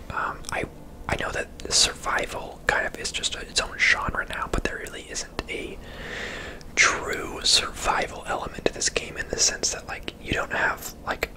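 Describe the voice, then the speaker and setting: A person giving casual, unhurried ASMR gaming commentary in a soft, breathy whisper close to the microphone. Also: poor audio.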